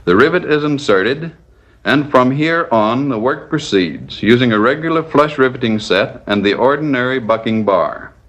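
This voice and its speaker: A man narrating a scripted 1940s instructional film in a measured, didactic, step-by-step manner. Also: medium pitch, fairly bright tone.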